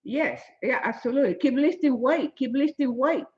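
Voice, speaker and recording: Older woman, 70s, fitness motivator on a live stream, fairly high voice, dull lo-fi sound. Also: normal speed, a little mellow. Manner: emphatic, repetitive, non-native phrasing. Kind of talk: live-stream chat answering viewers.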